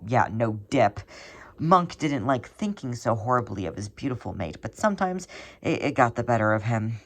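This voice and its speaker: A mid-pitched voice reads a piece of fan fiction aloud. The delivery is scripted narration, told in an expressive and dramatic storytelling manner.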